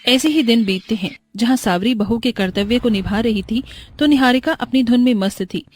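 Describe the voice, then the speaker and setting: A woman's voice: a little high, normal speed, slight background noise. A woman narrating a scripted moral bedtime story as the storyteller.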